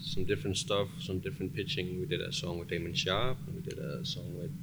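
A man's voice: sits low, level tone, brisk, creaky. A man from Denmark with a Danish accent, talking casually as a music producer being interviewed. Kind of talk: casual chat. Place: cramped studio. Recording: noisy studio background.

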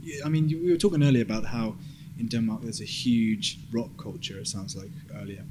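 A man's voice: fairly low pitch, a little fast. A man acting as interviewer, asking questions in a conversational style. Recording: clearly noisy background ambience.

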